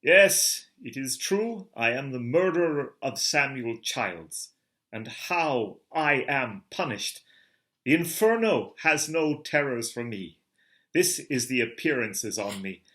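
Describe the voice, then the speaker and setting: A man's voice: medium pitch, very animated, slow, a bit bright. A man voicing a guilt-wracked murderer in a melodramatic literary reading, with wild theatrical outbursts. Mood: tormented.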